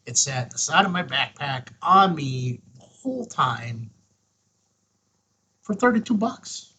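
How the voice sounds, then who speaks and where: A man's voice: fairly high pitch, big pitch swings, a little slow, sharp tone, slightly rough. A man hosting a casual hands-on product review, talking in a folksy, emphatic way with short punchy phrases. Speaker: host of a ham radio review show.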